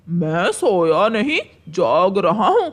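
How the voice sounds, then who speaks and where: A man's voice: high-pitched, very animated, takes it slowly, heavily acted. A man voicing a selfish, swaggering drunkard crow in a cartoon story, with a bullying manner. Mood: irritated, threatening. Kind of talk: Hindi cartoon story voice acting.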